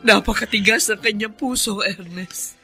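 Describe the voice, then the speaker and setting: A woman's voice: medium pitch, moderate pace, bright, forceful tone, breathy edge. A woman playing a patient, remorseful middle-aged mother in a Filipino radio drama, pleading with emotion. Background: dramatic music.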